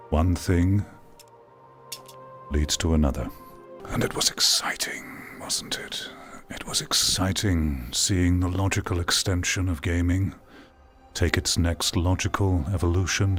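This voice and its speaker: Male game critic, low voice, dramatic pauses, reflective video-essay delivery over music. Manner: measured, theatrical, rhetorical delivery with pauses. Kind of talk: reflective scripted-sounding video essay commentary.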